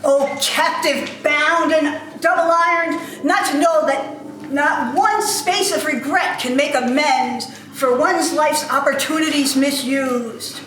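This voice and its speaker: A woman's voice: sits high, takes it slowly, fairly bright tone. A woman in an amateur dramatic reading playing a mournful male ghost in chains who declaims solemn warnings. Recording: very echoey room, faint background noise.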